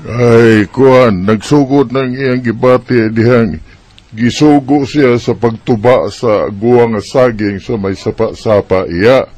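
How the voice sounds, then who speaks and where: Casting: anxious country dad, Bisaya accent, hesitant slow delivery, medium pitch, dry studio sound. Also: male voice, darkish tone, no echo. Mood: anxious, pleading. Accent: Filipino, Bisaya. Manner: hesitant, halting. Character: worried rural father, earnest and pleading. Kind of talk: Bisaya radio drama acting.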